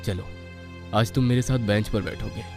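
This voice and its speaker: Man playing a calm, confident divine schoolboy. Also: lowish voice, normal speed, faint music behind, natural voice. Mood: calm, friendly. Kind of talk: animated bedtime story dialogue.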